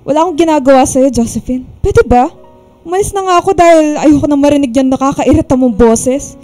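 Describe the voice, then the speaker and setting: An actress plays a young woman in a Filipino radio drama with a high, mellow voice. She is burned out and courteous, but has some fight in her. Soft music plays behind her. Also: fairly quick, close to an everyday voice. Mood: irritated, defiant. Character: burned-out 28-year-old woman, polite but feisty when provoked.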